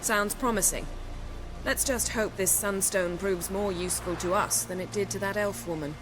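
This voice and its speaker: A woman voices an imperious fantasy sorceress, curt and dryly sardonic. Her game-cutscene lines sit over clearly audible music. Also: mid-range voice, moderate pace, lightly acted, poor audio. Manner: curt, dry, authoritative. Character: imperious, sardonic sorceress, sharp-tongued and commanding. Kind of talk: video game cutscene acting.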